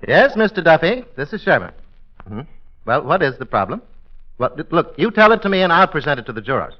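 An actor playing a pompous, bumbling judge with formal, wordy, hesitant phrasing, in 1940s radio comedy style. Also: male voice, a little high, big pitch swings, a little fast. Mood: deliberate, puzzled. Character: pompous, bumbling male judge of a comic court. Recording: muffled old radio recording.

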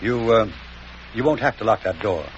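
A man's voice: lowish voice, lively intonation, moderate pace, close to an everyday voice. An actor playing a wry, chivalrous leading man in a 1940s radio romantic comedy. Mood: awkward, gentle. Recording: muffled, hissy old 1940s radio broadcast.

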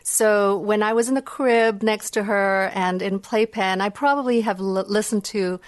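A middle-aged woman who grew up with Indonesian and Dutch talks as an interview guest. She is gracious and reflective, with a mid-range pitch and a dry sound free of room echo. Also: steady tone, moderate pace. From Indonesia and Hong Kong. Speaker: guest, music psychology researcher. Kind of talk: conversational podcast interview. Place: remote video call from home. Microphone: video call.

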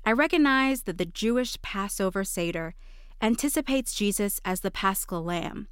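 A woman's voice: medium pitch, clear, resonant tone, no echo, clean studio sound. A woman reading a scripted daily devotional for a podcast.

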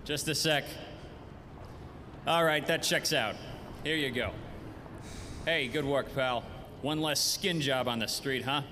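An actor playing a brisk, bossy street cop in a 1940s-style noir radio drama. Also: male voice, medium pitch, bright, forceful tone, slight background noise. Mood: commanding. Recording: long reverberant hall sound, faint music.

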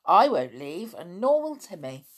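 A woman's voice: lowish voice, very animated, a little slow. A woman reading a storybook and voicing a bold, tomboyish girl who speaks in a spirited manner.

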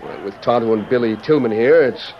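An actor playing a genial, stately American president, effusive and formal, in an old radio western. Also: male voice, medium pitch, a little fast, lightly acted. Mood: hearty. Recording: muffled, noisy old radio recording.

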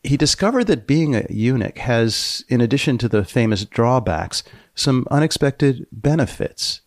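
A man hosting a podcast, narrating from a script with deliberate pauses and dramatic emphasis. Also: mid-range voice, animated, dry recording, studio quality. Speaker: podcast host.

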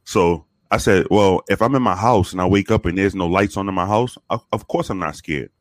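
A man from the Bronx with a very deep voice, telling profane, animated stories with many restarts in a casual interview. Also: brisk, dry recording. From the Bronx, New York. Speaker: guest, former police officer.

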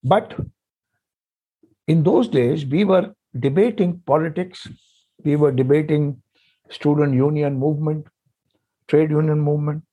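Emphatic man, dark husky voice. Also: on the high side, a little slow, long pauses, low-quality recording. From India. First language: Hindi. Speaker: panel guest. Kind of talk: panel discussion.

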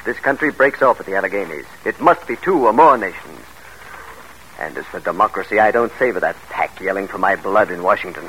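A man's voice: low-pitched, lively intonation, a little fast, a bit bright. An actor in a classic radio drama playing a scheming, grandiose exiled father with a commanding, theatrical manner. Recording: noisy old radio drama recording.

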